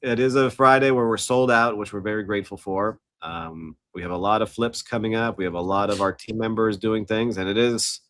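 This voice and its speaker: A man's voice: fairly low pitch, steady tone, fairly quick, hardly any pauses, fairly bright tone, no room sound. A man co-hosting a casual business podcast, upbeat and promotional, coming down with a cold. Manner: upbeat, promotional, joking asides. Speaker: podcast co-host. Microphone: studio mic.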